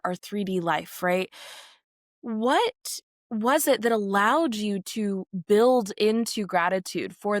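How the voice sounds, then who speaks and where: A young woman hosts a podcast interview, asking open-ended questions and warmly affirming her guest. Her voice sits in a middle pitch, and the recording is dry and close with little room sound. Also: no room sound. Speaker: podcast host.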